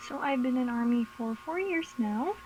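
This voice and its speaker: A woman's voice: high-pitched, animated, normal speed, darkish tone, a little hiss or hum, poor audio. A twenty-two-year-old woman from the Philippines, a fan base admin, answering interview questions briefly and plainly.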